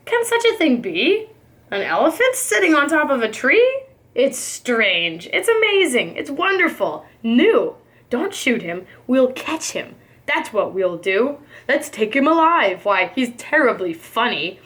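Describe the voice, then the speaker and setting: A woman voicing excitable, scheming male hunters from a storybook, with emphatic exclamations. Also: high voice, measured pace, full character voice. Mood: amazed, scheming.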